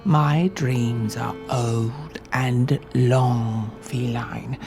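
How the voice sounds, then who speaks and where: A woman voicing an old, world-weary captive cockatoo who muses slowly and poetically in a radio play. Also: very deep voice, fairly even intonation, over music, exaggerated voice. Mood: dreamy, melancholy. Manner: slow, poetic, musing.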